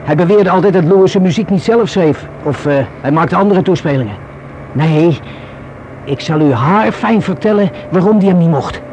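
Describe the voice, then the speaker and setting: Higher-voiced, rueful young man. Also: moderate pace, darkish tone. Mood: frank, scornful. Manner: hesitant, colloquial, self-reproaching. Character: rueful, wary young man confiding in police. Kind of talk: radio drama acting. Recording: noisy old radio play recording.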